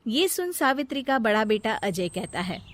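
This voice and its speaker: A woman's voice: fairly high pitch, very animated, normal speed. A woman narrating a scripted moral story as the storyteller.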